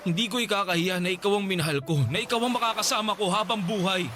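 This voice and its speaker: An actor playing a devoted, stubborn young college student in love, pleading emotionally in a radio drama. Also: male voice, sits high, fast talker, sharp tone. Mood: pleading, ardent. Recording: clearly audible background noise.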